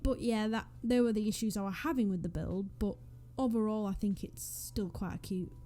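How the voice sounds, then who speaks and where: A woman's voice: medium pitch, moderate pace, mellow. A young woman from northwest England with a Northwest English accent, chatting casually and rambling with self-deprecating asides over a game build. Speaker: Sims YouTuber. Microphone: USB desk microphone.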